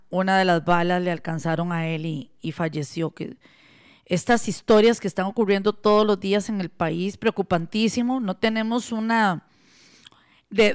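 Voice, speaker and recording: Costa rican lady hosting talk radio, smooth clear voice, lots of ehs. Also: medium pitch. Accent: Costa Rican Spanish. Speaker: radio talk show host.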